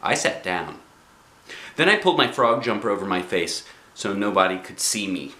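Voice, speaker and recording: A man reading a children's book aloud as an animated storyteller, with expressive, dramatic phrasing. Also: fairly low pitch, normal speed, reverberant.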